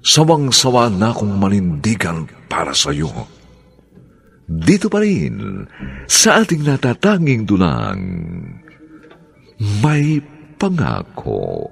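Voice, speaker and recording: A man's voice: mid-range voice, huge pitch swings, takes it slowly, vocal fry, pauses often, husky, soft background music. A man narrating as a radio drama announcer in a solemn, dramatic scripted style.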